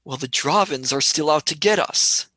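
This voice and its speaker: A man's voice: fairly high pitch, moderate pace, bright, forceful tone, dry recording. A man playing an agitated, impatient young space traveller in a sci-fi radio play, blunt and worried.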